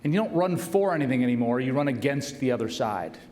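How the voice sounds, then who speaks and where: A man's voice: medium pitch, animated, quick pace, a little mellow. A man who is a university president, giving an earnest, conversational welcome speech and introducing the speaker. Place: large hall. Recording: roomy hall reverb, faint noise.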